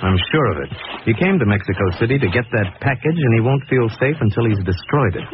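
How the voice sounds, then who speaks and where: An actor playing a calm, principled crime-fighting hero in a 1940s radio drama, speaking with deliberate authority. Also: male voice, medium pitch, close to an everyday voice. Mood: grave, resolute. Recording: tinny, noisy 1940s radio recording.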